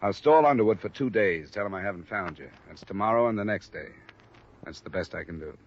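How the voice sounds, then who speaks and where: A man's voice: fairly low pitch, expressive, brisk, a little hiss or hum. A man acting a tough but fair insurance investigator and narrator in a 1950s radio detective drama, terse and matter-of-fact with an American accent. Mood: firm, sympathetic. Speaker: lead actor and narrator. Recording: dull restored old radio recording, music.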